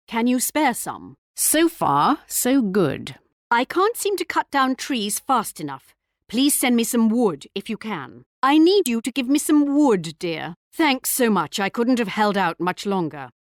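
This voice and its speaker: A woman's voice: fairly high pitch, no room sound, studio quality. A woman voicing a gracious, proud English queen in a strategy game, formal and poised, with a British accent. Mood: troubled, pleading. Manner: formal, poised, theatrically regal, lilting. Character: regal English queen, gracious, proud, politely cutting war ally. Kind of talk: scripted strategy game voice lines.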